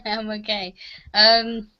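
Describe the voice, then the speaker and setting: A woman from the United Kingdom who works as a virtual assistant, speaking in a relaxed, slightly hesitant way on a video call. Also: fairly high pitch, drawn-out words. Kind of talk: casual video call discussion. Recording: dull video call audio.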